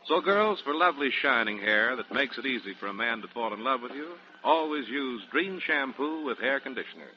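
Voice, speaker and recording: A male announcer giving a scripted sales pitch in the style of 1940s radio advertising. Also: expressive, a little fast. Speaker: commercial announcer. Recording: dull 1940s radio recording.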